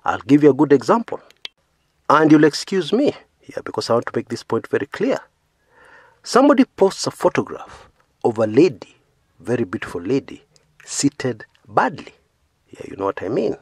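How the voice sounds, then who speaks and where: Male commentary host from Kenya, second-language English, earnest and emphatic, animated intonation, slightly husky. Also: medium pitch, moderate pace, long pauses, dry recording, studio quality. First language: not English. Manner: earnest, emphatic, frequent "yeah" tags, rising stresses on key words. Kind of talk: opinion commentary monologue.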